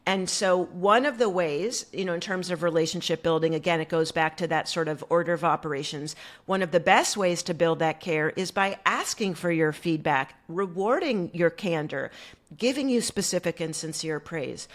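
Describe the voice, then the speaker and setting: Middle-aged woman from the U.S., low-pitched voice, animated storyteller who talks with lots of "like" and "you know". Guest interview chat. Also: normal speed. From United States. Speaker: guest coach.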